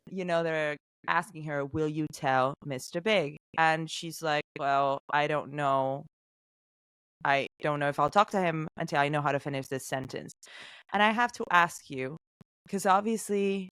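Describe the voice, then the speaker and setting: Low smooth-voiced woman podcast host. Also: dry recording. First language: not English. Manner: animated storytelling, acts out characters' lines. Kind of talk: casual podcast recap.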